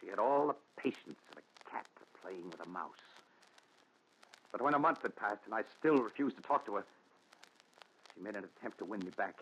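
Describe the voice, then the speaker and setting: A man's voice: fairly low pitch, expressive, moderate pace, long pauses, a bit bright. A man playing a tormented, regretful, bitter husband in an earnest, melodramatic 1940s radio thriller style. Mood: haunted recounting. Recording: noisy, dull, crackly 1940s radio recording.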